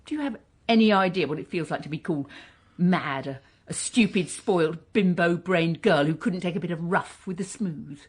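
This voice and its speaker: A woman's voice: fairly low pitch, slightly rough. An actress playing a bitter, defiant woman wronged years ago, in a naturalistic radio drama. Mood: wounded, angry. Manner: impassioned, halting when hurt.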